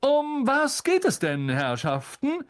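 A reader voicing a vain, pompous male teacher in a storybook, booming and condescending. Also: wildly swooping pitch, takes it slowly, heavily acted. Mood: jovial, showy.

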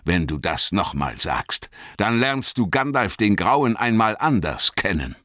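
Guy doing a stern old wizard in a fantasy audiobook, bright forceful voice, unhurried and commanding. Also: low voice, very animated, no room sound, studio quality, pitched above the performer's own voice, exaggerated voice. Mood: threatening. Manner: deliberate, authoritative.

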